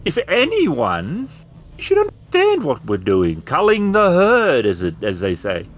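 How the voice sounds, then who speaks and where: Smug robot villain, voiced by a male game master, taunting and theatrical, pitch leaping dramatically. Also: huge pitch swings, measured pace. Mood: scornful, goading. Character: villainous robot with a copied mind, smug, misanthropic.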